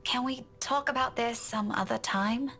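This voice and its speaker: A woman's voice: on the high side, unhurried, pushed and bright, exaggerated voice. A woman voicing a weary, prickly young woman in a visual novel game, curt and restrained. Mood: restrained irritation.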